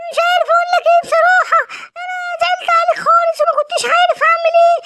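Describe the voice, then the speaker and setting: A woman's voice: very high voice, fairly bright tone, voice pushed up. A woman with an Egyptian accent voicing a clumsy young male dog in a cartoon, who pleads and apologizes. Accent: Egyptian Arabic. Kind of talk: cartoon voice acting.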